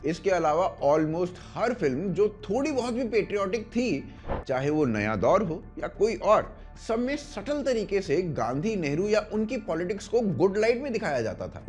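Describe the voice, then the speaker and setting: A man's voice: high voice, sing-song, moderate pace, a little mellow, faint music behind. A man with an Indian accent presenting a scripted explainer as host, in an emphatic, opinionated storytelling manner. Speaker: host of a video essay.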